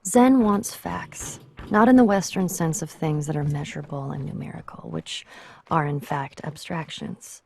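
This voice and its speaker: A woman reads scripted quotations in a contemplative, measured way. Her voice is low and soft-edged, with a gravelly creak, over faint background noise. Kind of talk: scripted literary quotation reading.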